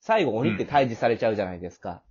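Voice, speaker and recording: A man's voice: on the low side, expressive, very fast, darkish tone, breathy edge. A man hosting a casual backstage interview chat in a joking, teasing manner. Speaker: host and creator of a radio drama, interviewing cast. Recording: muffled, lo-fi audio.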